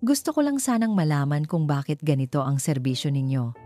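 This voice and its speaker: A composed Filipino gentleman passenger voiced by a woman in an audio story. He is courteous and restrained, with a mellow tone and soft music behind. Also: low-pitched, brisk. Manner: calm, courteous. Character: calm, polite, self-controlled wealthy male passenger.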